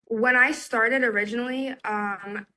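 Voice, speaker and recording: A woman's voice: on the high side, fairly even intonation, unhurried, bright, forceful tone, poor audio. A woman answering interview questions in casual chat, as a content creator guest. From United States and Egypt.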